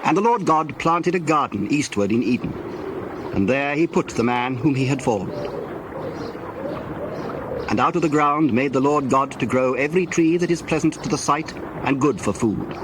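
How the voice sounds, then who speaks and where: A male narrator delivers scripture as solemn, measured storytelling. His voice sits at a medium pitch, with clearly audible ambient noise behind it. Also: lively intonation.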